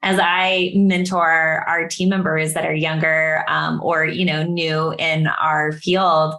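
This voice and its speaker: A woman co-hosting a podcast in casual interview chat, animated and acting out her own reactions as she tells stories. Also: low voice, slow pace. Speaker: podcast co-host.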